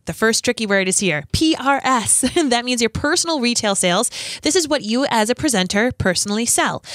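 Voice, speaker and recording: A young woman hosting her own podcast, upbeat and encouraging, her voice a little raspy from allergies. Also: medium pitch, dry recording, clean studio sound. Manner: peppy and encouraging, bursts of excitement. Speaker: podcast host. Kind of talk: upbeat solo podcast coaching.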